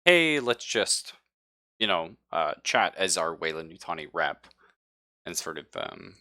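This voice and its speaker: A man with a low, bright and forceful voice, recorded close and dry, narrates a tabletop roleplaying game as game master. He improvises casually, hedging as he offers options to the players. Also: sing-song, measured pace, no echo.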